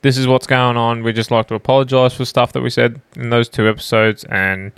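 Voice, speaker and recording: Smooth-voiced, laid-back young Australian man. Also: medium pitch, flat delivery, a little fast, a bit bright, no echo. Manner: laid-back, sweary, slangy. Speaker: podcast co-host. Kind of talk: casual chat. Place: smallish room in a home.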